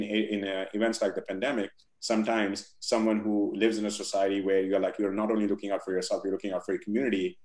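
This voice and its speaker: Low-voiced man on a video call. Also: a little mellow, echoey room. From India. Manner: thoughtful, many fillers and restarts. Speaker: guest. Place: home room with virtual backdrop.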